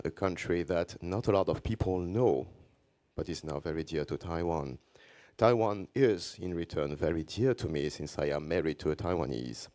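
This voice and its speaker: Deep-voiced Belgian French man presenting. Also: darkish tone. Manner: measured, deliberate, with frequent pauses. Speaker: conference speaker, journalist. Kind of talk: conference presentation.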